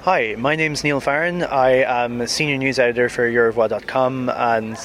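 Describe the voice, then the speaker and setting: A man's voice: mid-range voice, steady tone, normal speed, a bit bright, a little hiss or hum. Irish man interviewed on the street. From Ireland. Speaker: news editor and contest fan.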